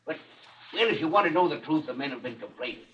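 A distant, muffled 1940s radio drama recording of a working-class night watchman. He is gruff but uneasy and colloquial, with a bright, forceful tone. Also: on the high side, lively intonation, fast talker, a little hiss or hum. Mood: reluctant, uneasy. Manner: colloquial, reluctant. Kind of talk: 1940s radio mystery acting.

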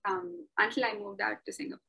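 Chatty twenty-something woman from chennai, kinda high bright voice, lots of ums. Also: lively intonation, fast talker, creaky, breathy edge. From Chennai, India. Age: 20s. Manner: chatty, many fillers and restarts, laughs often. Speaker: interview guest, law graduate. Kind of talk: casual interview talk.

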